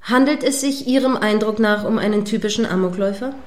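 A stern female news presenter in a radio play, acted by a woman. She has a mid-range voice and speaks in formal, well-articulated sentences. She comes across as prim, combative and sceptical, quick to correct. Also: mid-range voice, lightly acted. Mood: businesslike, corrective.

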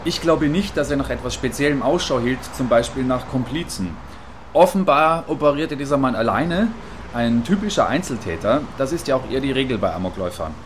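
Faint ambient noise sits behind a man playing an earnest male radio reporter in a radio drama. His middle-pitched voice gives deliberate, long-winded live reports in a factual manner. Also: expressive, close to an everyday voice. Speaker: field reporter. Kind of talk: satirical radio play as live news report.

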